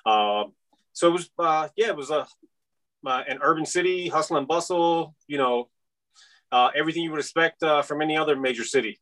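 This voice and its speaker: Male podcast guest, 50s, from Iran, meandering and filler-heavy, bright tone. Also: on the high side, brisk, poor audio. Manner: rambling, many fillers. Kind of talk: casual interview chat.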